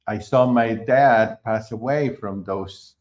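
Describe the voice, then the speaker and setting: A middle-aged man from Argentina, a concert pianist being interviewed, who talks in a halting way with many fillers and restarts. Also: on the low side, darkish tone. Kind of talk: conversational interview.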